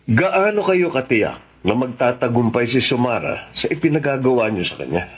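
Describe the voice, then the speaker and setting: A man's voice: medium pitch, big pitch swings, moderate pace, natural voice. An actor playing a skeptical man in a political plot in a Tagalog radio drama, with a questioning manner. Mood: doubtful.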